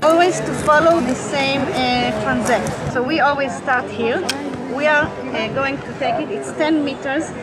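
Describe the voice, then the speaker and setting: A woman's voice: sits high, measured pace, loud music behind the voice. A woman explaining field monitoring outdoors, hesitating with many fillers. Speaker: field educator.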